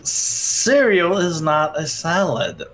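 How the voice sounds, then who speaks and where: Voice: medium pitch, huge pitch swings, slow, a bit bright, poor audio. A livestream companion talking in casual chat.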